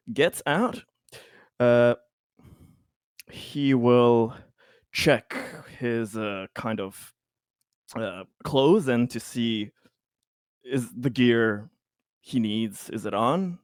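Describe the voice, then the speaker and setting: A man's voice: mid-range voice, drawn-out words, long pauses, clear, resonant tone, no room sound, studio quality. A man playing a tabletop RPG, narrating his character's actions casually in a hesitant, stammering, stop-and-start way. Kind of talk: casual improvised roleplay narration.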